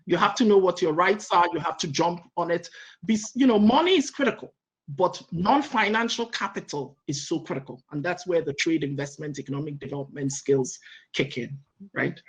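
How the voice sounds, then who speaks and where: A woman's voice: on the low side, normal speed. A middle-aged woman, answering on a panel with energy, listing her points and checking in with "right?". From Nigeria. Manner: energetic, lists points, keeps adding "right?".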